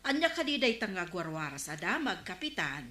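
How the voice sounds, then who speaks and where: An actress playing an easily offended, animated village councilwoman in a Filipino comedy radio drama, with an Ilocano accent. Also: medium pitch, fairly quick. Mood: questioning. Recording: roomy, echoing studio sound.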